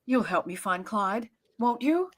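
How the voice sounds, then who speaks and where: A woman's voice: fairly high pitch, animated, a little slow. Woman playing theatrical, swooping society mother. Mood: wheedling. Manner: gushing, theatrical, coaxing. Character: dramatic, fretful society mother, wheedling and self-pitying. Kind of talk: 1930s radio drama acting.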